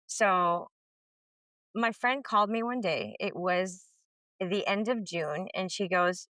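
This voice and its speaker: Young woman from lebanon telling stories, lively and expressive, voice jumps around, close dry sound. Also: takes it slowly, no echo. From northern Lebanon. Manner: expressive, acts out dialogue with sudden pitch jumps. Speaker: middle school teacher, podcast guest. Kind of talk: animated storytelling in conversation.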